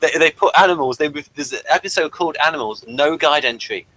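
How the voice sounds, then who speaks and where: A man's voice: fairly high pitch, quick pace, pushed and bright, rough recording. A man hosting a podcast, explaining things in a casual review discussion. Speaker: podcast host.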